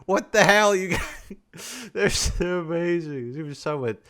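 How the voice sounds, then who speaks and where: A man hosting a video game review, telling stories in a casual, sarcastic, animated way with joking asides. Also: high voice, a little slow. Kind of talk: casual gameplay commentary and storytelling.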